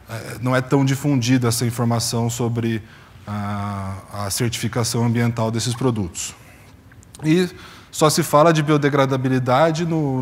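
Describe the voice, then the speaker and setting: A man in his thirties from Brazil presents at a conference, explaining steadily while pausing on fillers and restarting phrases. His voice sits at an ordinary middle pitch. He speaks into a lapel mic, and a long hall reverb trails behind him. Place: conference stage in a large hall.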